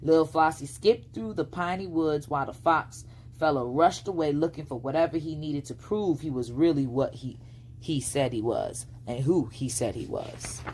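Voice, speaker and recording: A woman's voice: deep voice, normal speed, darkish tone, slight background noise. A woman reading a bedtime storybook aloud as its narrator. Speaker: storybook reader.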